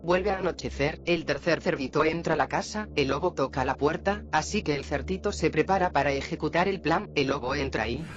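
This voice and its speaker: Higher-pitched man recapping a story. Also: brisk, few pauses, muffled recording. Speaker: video narrator.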